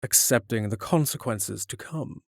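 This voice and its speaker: A man's voice: mid-range voice, a little slow, creaky, no room sound, clean studio sound. A man reading scripted narration for a fantasy drama.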